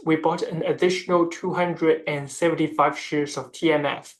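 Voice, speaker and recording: Finance video narrator, medium pitch, formal and explanatory, reading scripted analysis at a measured clip. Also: poor audio. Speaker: investment video host.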